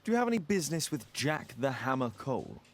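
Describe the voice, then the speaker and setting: An actor playing a shrewd, self-assured Victorian detective in a video game, British accent, precise, probing and dryly superior. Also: male voice, mid-range voice, very animated, fast. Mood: probing, sceptical. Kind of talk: dramatic video game acting.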